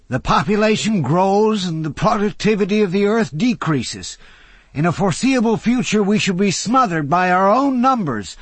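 An old crank of a man, played by a man in a high, loud, husky voice, rolls out his doom like a sermon. He declaims with gleeful grandeur and lively swings of pitch, recorded dry and close. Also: dry recording, heavily acted.

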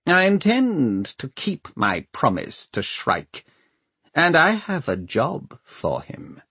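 A man's voice: a little high, huge pitch swings, takes it slowly, bright, forceful tone, slightly rough, dry recording, pitched above the performer's own voice, full character voice. A man voicing a commanding, coolly ruthless male Lord Mayor with an imperious, clipped manner in an audiobook. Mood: falsely cheery.

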